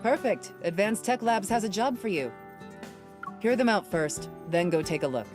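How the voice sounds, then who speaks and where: A woman's voice: mid-range voice, lively intonation, normal speed, over music, lightly acted. An actress playing an authoritative female squad captain in a video game, firm and matter-of-fact. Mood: businesslike.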